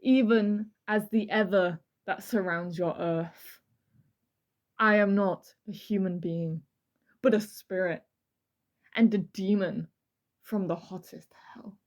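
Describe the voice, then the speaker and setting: Woman playing a slow, theatrical, menacing demon spirit. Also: medium pitch, fairly even intonation, lightly acted. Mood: grand, menacing. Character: boastful demonic male spirit, a murderous Axeman from hell. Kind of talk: over-the-top dramatic letter reading.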